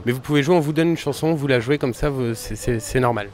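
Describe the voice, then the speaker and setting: A young man interviewing a guest, asking friendly questions quickly and often correcting himself mid-sentence. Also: mid-range voice, darkish tone. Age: young adult. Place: outdoors at a summer concert event.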